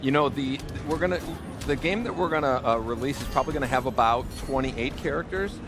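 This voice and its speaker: A man's voice: mid-range voice, fairly quick, noisy background. A man speaking as a game developer in an interview, explaining how things work. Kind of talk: interview answers, explaining gameplay. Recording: loud music behind the voice. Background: loud music.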